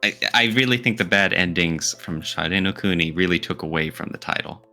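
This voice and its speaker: A man's voice: low voice, fairly quick, bright, forceful tone, soft background music. A man co-hosting a podcast in casual debate style, speaking emphatically and arguing playfully. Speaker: podcast co-host.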